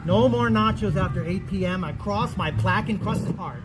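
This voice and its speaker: A man's voice: sits high, normal speed, loud music behind the voice, close to an everyday voice. A man playing an anxious, cynical middle-aged husband in a comedic stage play, stammering and self-deprecating. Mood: groggy, dismissive. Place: theatre stage at a festival.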